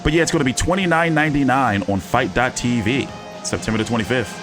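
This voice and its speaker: A man's voice: medium pitch, quick pace, over music. A man hosting a casual fight-commentary live stream, talking in loose, profane, joking banter. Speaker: boxing commentary host.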